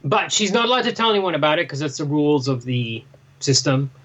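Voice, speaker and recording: Higher-pitched man in slightly dull audio. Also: sing-song, brisk, a bit bright. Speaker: podcast co-host. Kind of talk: casual book discussion.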